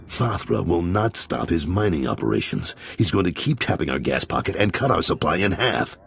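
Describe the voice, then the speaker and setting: Very deep, gravelly crime boss. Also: male voice, big pitch swings, normal speed, heavily acted. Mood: irritated. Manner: blunt, dryly sardonic. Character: gruff male crime underboss, weary and sardonic. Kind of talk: video game character acting.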